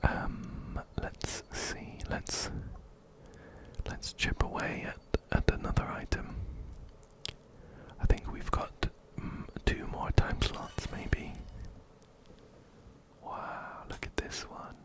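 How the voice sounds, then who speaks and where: A man whispering softly and breathily into a close binaural microphone, giving gentle ASMR game commentary and often repeating words rhythmically. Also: slow pace. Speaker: ASMR gaming video host. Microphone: close-up binaural microphone, ear to ear.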